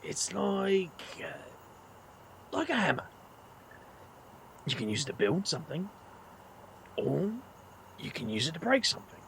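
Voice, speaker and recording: A man playing a blunt, protective outsider and awkward mentor in an audio drama, halting but earnest. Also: a little high, big pitch swings, creaky, fairly bright tone, slight background noise, heavily acted. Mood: gentle, earnest.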